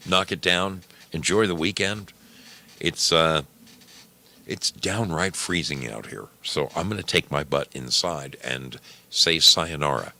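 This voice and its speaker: A man's voice: very deep voice, unhurried, gravelly, sharp tone. A man hosting a radio program, talking casually and in a folksy, familiar way to his listeners. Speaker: host of an old time radio program.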